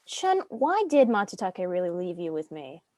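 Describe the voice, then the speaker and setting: A woman's voice: fairly low pitch, big pitch swings, normal speed, a little mellow, dry recording. A woman playing a proud, composed noble widow in a dramatic script reading, speaking formally and with poise. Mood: shrewd.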